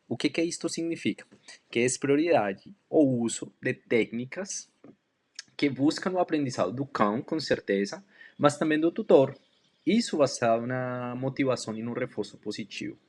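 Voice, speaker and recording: Male dog educator, native Spanish speaker from Colombia with audible accent, mid-range voice, measured self-introduction talk with hesitant pauses. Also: medium pitch, a little mellow. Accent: Colombian Spanish accent in Portuguese. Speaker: dog trainer and canine educator.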